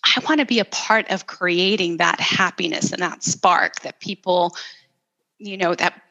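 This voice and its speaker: A middle-aged woman from El Salvador talks as an interview guest, her voice sitting a little low yet sounding bright and forward. She tells her story with enthusiasm, often restarting her sentences and filling gaps with "um" and "you know.". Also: steady tone, measured pace, vocal fry, low-quality recording. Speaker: interview guest, architect and designer. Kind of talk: conversational interview.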